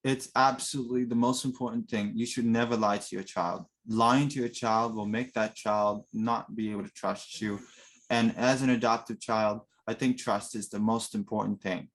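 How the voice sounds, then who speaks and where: A man's voice: fairly low pitch, fairly even intonation, normal speed. A man whose first language is Russian, telling his own adoption story in an earnest, reflective interview. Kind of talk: personal interview.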